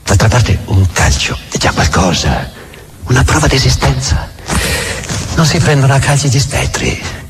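Un attore che interpreta un diavolo chiacchierone e disincantato, ironico e autoironico, in un monologo teatrale per la radio. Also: male voice, mid-range voice, sing-song, normal speed, background noise, close to an everyday voice. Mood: wry, musing. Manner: ironic, musing, conversational. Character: sardonic, chatty devil, world-weary self-mocking parasite. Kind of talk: dramatic stage monologue for radio. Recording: music running under the voice. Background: music.

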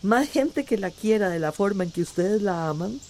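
A woman's voice: mid-range voice, expressive, normal speed, darkish tone, a little hiss or hum, lightly acted. A woman playing a tender, wistful mentor of youngsters in a radio drama, speaking affectionately.

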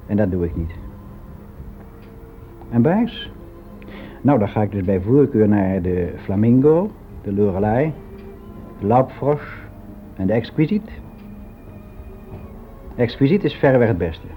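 Timid sixty-year-old clerk, muffled recording. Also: male voice, lowish voice, animated, soft background music. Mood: matter-of-fact, chatty. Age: 60s. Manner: halting, confiding, colloquial, with hesitant self-corrections. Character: sixty-year-old male office employee, lonely, timid, regretful, candid. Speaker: actor performing a radio monologue. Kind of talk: conversational radio drama monologue. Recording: dull, lo-fi old radio recording.

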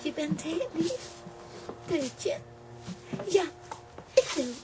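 A woman's voice: extremely high pitch, sing-song, drawn-out words, very noisy, heavily acted. A woman voicing a sweet, fragile female porcelain coffee pot with plaintive, delicate delivery in a children's radio play. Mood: hopeful, tender. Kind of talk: children's comic-strip radio play acting.

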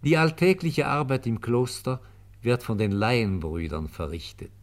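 A man's voice: medium pitch, measured pace. A man narrating a scripted radio play in a factual storytelling style.

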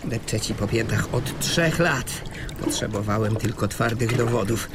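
A man's voice: fairly low pitch, measured pace, over music, close to an everyday voice. A man acting in a radio play as a dogged police officer, smug, sardonic and stern, taunting with emphatic delivery.

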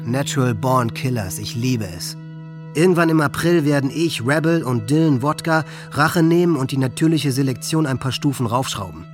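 A man's voice: medium pitch, fairly bright tone, natural voice. A man playing a hate-filled, grandiose teenage boy in a radio drama, delivering a diary monologue with cold intensity.

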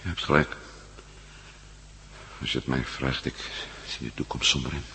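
A worn-out, sardonic prisoner in an old radio play. The voice is low and gravelly with a hard edge, over faint hum. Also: male voice, fairly even intonation, moderate pace, sharp tone, heavily acted. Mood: gloomy, defiant. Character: weary, battered male prisoner, gloomy, wry and defiant. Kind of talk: radio drama acting.

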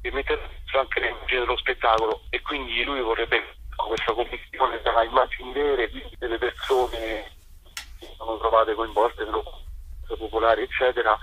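A man with a slightly husky voice, chatting informally as an interview guest. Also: mid-range voice, flat delivery, normal speed. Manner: chatty, informal, peppered with tags. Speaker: actor and playwright, interview guest. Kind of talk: casual radio interview. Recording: dull lo-fi telephone interview.

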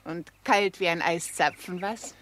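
A woman's voice: lowish voice, measured pace, fairly bright tone. A woman playing a seductive, lonely Hollywood actress in a 1960s German radio crime drama, coquettish and insistent. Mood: flirtatious, teasing. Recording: 1960s radio play with background noise.

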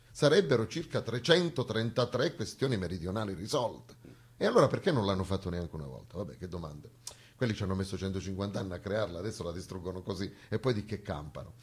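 A man's voice: on the low side, a little mellow, breathy edge. A man performing a satirical theatre monologue, conversational but emphatic, full of sarcastic asides and rhetorical questions. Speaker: stage actor performing a monologue.

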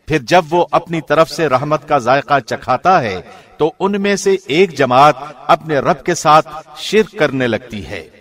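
Man, radio-host reader, scripted reading, measured formal delivery, slightly high voice. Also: lively intonation, fairly bright tone.

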